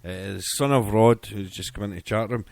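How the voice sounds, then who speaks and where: A man's voice: lowish voice, a little fast, creaky, a little mellow, no echo. A man chatting casually as an internet radio DJ between songs, rambling and stumbling over his words.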